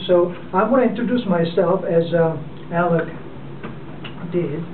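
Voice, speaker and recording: Korean-accented man speaking from afar. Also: sits high. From South Korea. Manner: halting, with many fillers and restarts. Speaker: club member giving a speech. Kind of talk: unprepared speech. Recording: distant, echoey, phone-like and noisy.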